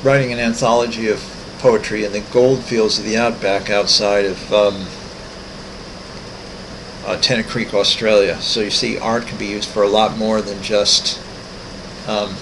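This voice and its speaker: Older guy, low gravelly voice, lots of ums, noisy home recording. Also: fairly even intonation, measured pace. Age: 60s. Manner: rambling, many ums and restarts. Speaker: artist walking through his website. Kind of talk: casual screen-recorded walkthrough.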